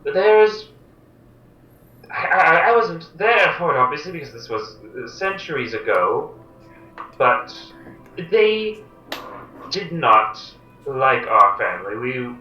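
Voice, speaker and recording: A man voicing a guarded young noblewoman from a shunned family, speaking in a hesitant, halting way. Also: medium pitch, very animated, dull audio, soft background music. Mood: solemn. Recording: roomy echo, mic far off.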